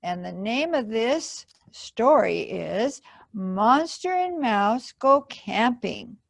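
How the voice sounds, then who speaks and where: A woman reads a picture book to young children in a fairly high voice with some creak. She goes very slowly and expressively, explaining things simply as storytime host. The sound is dry and close. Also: big pitch swings, no room sound. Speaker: library storytime host and reader.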